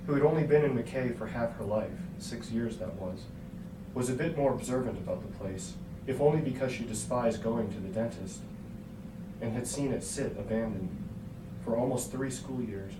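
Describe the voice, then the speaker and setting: A man's voice: mid-range voice, moderate pace. A man reading a scripted story aloud as its narrator. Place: hotel room. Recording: echoey hotel room, distant mic, noisy.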